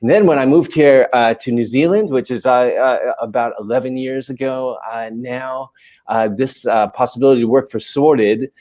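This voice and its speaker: Male panel guest, American accent, mid-range pitch, unscripted conversational storytelling. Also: normal speed, a little mellow. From New York City, USA. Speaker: panel guest, managing editor. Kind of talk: conversational personal account.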